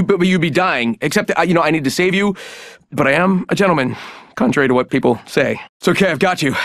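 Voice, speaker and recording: An actor voicing a flustered, flirty gentleman hero in a video game, stammering and rambling awkwardly. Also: fairly high pitch, dry recording, studio quality. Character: flustered, flirty, self-styled gentleman hero, adult man. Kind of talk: video game character voice lines.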